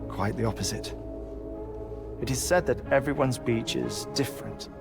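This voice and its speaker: An actor playing an eccentric, grieving middle-aged scientist in a video game, speaking in a deliberate, theatrical, eloquent way with thoughtful pauses. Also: male voice, mid-range voice, creaky, close to an everyday voice. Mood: grave, wistful. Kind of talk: dramatic game cutscene acting. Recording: game soundtrack music behind voice. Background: music.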